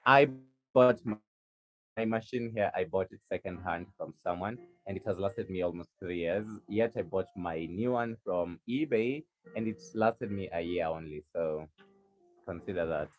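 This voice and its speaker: A man's voice: sits low, moderate pace, faint music behind. A man from Kenya, a rug maker chatting casually on a livestream and answering viewers' questions with a helpful, rambling manner. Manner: chatty, helpful, lots of restarts.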